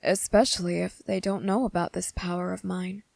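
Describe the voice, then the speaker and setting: A woman voicing a possessive, lovesick male vampire in an intimate ASMR roleplay, speaking tenderly and haltingly, with coaxing deliberation. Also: on the low side, steady tone, creaky, darkish tone, no room sound. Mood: devoted, quietly intense. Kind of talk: ASMR character roleplay, intimate scripted acting.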